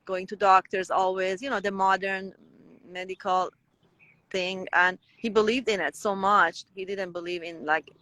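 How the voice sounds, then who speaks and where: A woman's voice: medium pitch, level tone, normal speed, sharp tone, dry recording, rough recording. A woman with a Persian accent, a native Persian speaker, talking as an interview guest with many restarts and fillers. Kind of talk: conversational interview.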